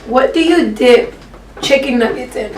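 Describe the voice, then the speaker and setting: A young woman from Peru hosting a casual question and answer vlog, talking in a relaxed way with many fillers. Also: high voice, measured pace, roomy sound, far from the mic, a little hiss or hum. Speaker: vlogger co-host. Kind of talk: casual Q and A chat.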